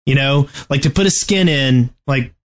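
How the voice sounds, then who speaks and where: Male podcast co-host, mid-pitched, conversational gamer giving frank opinions. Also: moderate pace. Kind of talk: casual gaming podcast chat.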